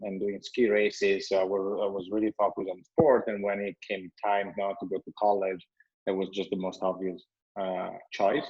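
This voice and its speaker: Low, flat Italian-accented man on a call. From Italy. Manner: measured, with frequent restarts and "um". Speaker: guest, company founder. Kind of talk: video call interview. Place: bright room at home with a window. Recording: dull, lo-fi call audio.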